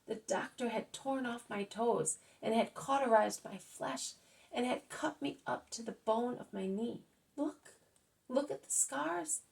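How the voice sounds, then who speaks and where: Woman reading as a healed crippled man, ecstatic, high-pitched, loud, gravelly, storybook. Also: recorded from a distance, heavily acted, poor audio. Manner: excited, high, loud exclamations.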